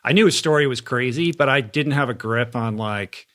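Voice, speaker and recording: A man's voice: mid-range voice, normal speed, fairly bright tone. Casual American male podcast host. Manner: chummy, informal, slangy. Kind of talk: casual conversational interview.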